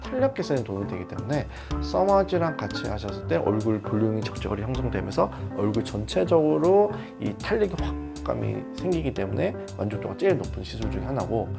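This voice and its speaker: A skin doctor talks viewers through a cosmetic treatment at a quick clip, his voice carrying a gravelly rasp. He explains steadily and gives practical advice. Music plays clearly behind him. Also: on the low side, sing-song. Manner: explanatory, advising with examples. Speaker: dermatologist.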